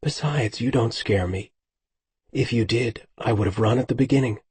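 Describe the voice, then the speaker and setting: A man voicing a tender, earnest young woman in love, in a husky, breathy voice, reading an audiobook. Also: medium pitch, normal speed, darkish tone, no echo.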